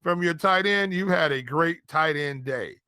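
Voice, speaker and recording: A man's voice: fairly high pitch, unhurried, sharp tone, clear, resonant tone, dry recording, studio quality. A man co-hosting a casual sports chat, giving picks in informal, slangy talk and repeating phrases for emphasis. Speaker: fantasy football podcast co-host.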